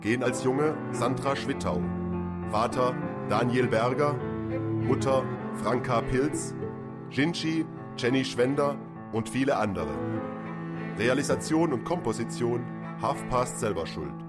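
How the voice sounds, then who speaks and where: A male announcer reads broadcast credits in a mid-range voice. His scripted delivery is slow and deliberate, with loud music behind him. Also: medium pitch.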